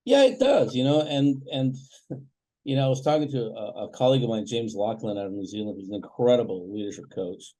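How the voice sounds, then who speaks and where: A man from Canada, a family wealth coach, answering interview questions in a reflective, measured way with many fillers. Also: mid-range voice, animated, warm tone, low-quality recording.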